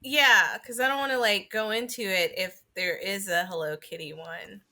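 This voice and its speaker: A woman's voice: mid-range voice, fairly even intonation, normal speed, bright, forceful tone. A woman co-hosting a podcast in casual chat, thinking aloud informally. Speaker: podcast co-host.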